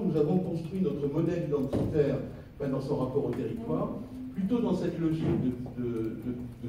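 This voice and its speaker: A man with a French accent from France giving a semi-improvised conference lecture, halting and searching for his words. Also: a little high, dark tone. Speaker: conference speaker, geographer. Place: conference hall. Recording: roomy echo, distant mic, faint noise.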